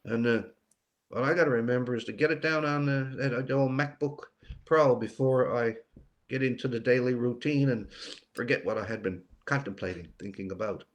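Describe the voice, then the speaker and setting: An older man from Newfoundland, a writer being interviewed, speaking reflectively with pauses and repeated words for emphasis. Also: mid-range voice. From Newfoundland, Canada.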